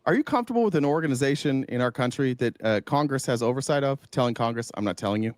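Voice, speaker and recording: A man's voice: medium pitch, animated, fast, darkish tone, no room sound, studio quality. A man giving casual political commentary, emphatic, throwing out rhetorical questions and swearing freely.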